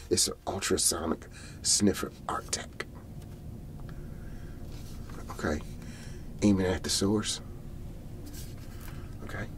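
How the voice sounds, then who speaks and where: A man playing a folksy, excitable garage-sale salesman in an ASMR roleplay, whispery and breathy, rambling and repeating his hard sell. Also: deep voice, takes it slowly, pauses often, noisy background. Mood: eager, confiding. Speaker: host playing a character.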